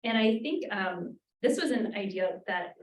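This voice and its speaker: A woman's voice: mid-range voice, lively intonation, unhurried, a little hiss or hum. A woman instructor in a roundtable discussion, speaking thoughtfully with many "um"s and "like"s. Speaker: graduate language instructor. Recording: roomy, from far off.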